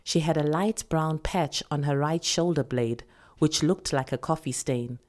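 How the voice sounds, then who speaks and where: A South African woman reading a literary short story with expressive, deliberate, dramatic delivery. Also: low voice.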